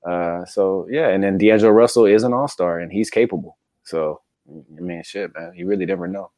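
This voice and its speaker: A man's voice: deep voice, moderate pace, darkish tone, no echo. A man co-hosting a casual sports chat, talking in a laid-back, slangy, conversational way. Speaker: sports podcast co-host.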